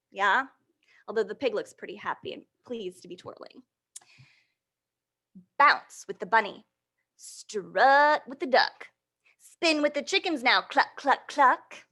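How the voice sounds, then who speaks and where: A woman with a fairly high voice leads a storytime for young children. She reads in an animated, playful style and guides little listeners through actions. Her manner is encouraging, often checking in with them as she goes. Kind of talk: animated picture-book reading and action songs for young children.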